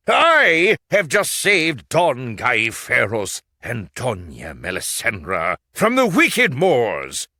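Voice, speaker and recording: A woman's voice: very deep voice, huge pitch swings, slow, few pauses, pushed and bright, no echo, studio quality, full character voice. A woman voicing an indignant, grandiose male knight in a storybook, declaiming pompously. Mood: triumphant. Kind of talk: theatrical children's storybook read-aloud.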